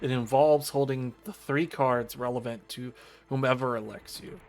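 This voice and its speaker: A man streaming a visual novel, reading the game text aloud in a formal, measured storytelling style. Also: medium pitch, fairly even intonation. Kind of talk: dramatic read-aloud narration of game text. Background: video game music.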